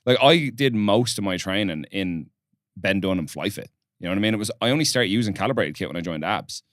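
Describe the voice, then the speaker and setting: Fast-talking deep-voiced man. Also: animated, a bit bright, no room sound, studio quality. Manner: blunt, swears freely, quick-talking. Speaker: podcast host. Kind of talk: casual podcast interview.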